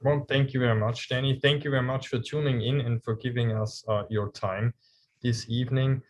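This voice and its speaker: A man with an Austrian German accent, a cruise line executive giving a polite, measured online slide presentation with some fillers. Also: mid-range voice, steady tone, slight background noise.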